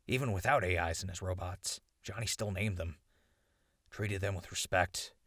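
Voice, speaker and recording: A man's voice: sits low, moderate pace, a bit bright, dry recording, studio quality. A man playing a wry, loyal, talkative ghoul wastelander in a post-apocalyptic audio drama. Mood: fond, reflective.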